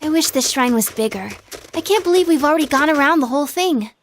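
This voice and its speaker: High-voiced, coy teen idol girl. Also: lively intonation, fast, a little hiss or hum. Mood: affectionate, teasing. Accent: American. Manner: cutesy, coy, playful. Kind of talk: anime video game dub acting.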